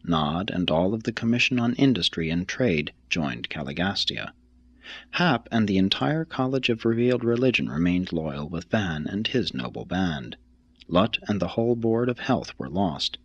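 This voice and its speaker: Deep-pitched, expressive male audiobook narrator. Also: moderate pace, breathy edge, no room sound, dull audio. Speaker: audiobook reader. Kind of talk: scripted narration of a religious text.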